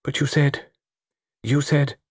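A man voicing an anguished, refined upper-class lady in a storybook mystery, speaking haltingly. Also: mid-range voice, animated, breathy edge, dry recording, clean studio sound, pitched above the performer's own voice. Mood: distraught. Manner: halting, breaking off mid-phrase.

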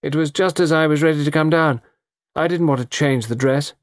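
A man's voice: a little high, a little fast, no room sound, clean studio sound, pitched above the performer's own voice, close to an everyday voice. A man voicing a cool, haughty young lady. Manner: cool, curt, clipped replies. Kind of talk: audiobook character voice.

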